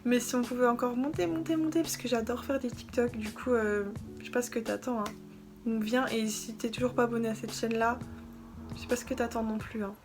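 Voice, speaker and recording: A young woman talks through her beauty haul in a chatty, gushing way, her voice sitting a little high. Clear background music plays under her casual vlog chat. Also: fairly even intonation, brisk. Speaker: beauty vlogger. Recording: music playing behind.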